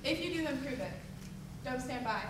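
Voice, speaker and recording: A woman's voice: high voice, lively intonation, moderate pace. A young woman performing slam poetry live, emphatic and declamatory with a rhythmic delivery. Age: teens to young adult. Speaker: slam poet. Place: echoey venue at a high school showcase. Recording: echoey distant live recording, background noise.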